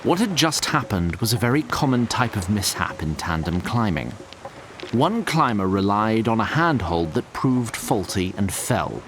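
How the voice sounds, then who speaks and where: Male narrator: wry, formal, mid-pitched, very expressive intonation, music underneath. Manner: wry, formal, addresses listener directly. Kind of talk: scripted storybook narration, audio drama.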